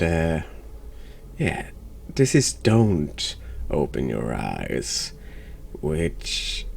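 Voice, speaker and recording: A man congested with a cold, hosting a horror game playthrough in a hushed ASMR style, halting and sometimes dropping into whispers. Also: low voice, creaky. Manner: slow, halting, drops into whispers. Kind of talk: hushed ASMR-style game commentary and read-aloud narration.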